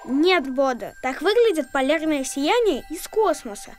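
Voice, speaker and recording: A woman voicing a bright know-it-all child who patiently explains and corrects in an educational cartoon. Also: sits high, very animated, unhurried, faint music behind. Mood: explaining.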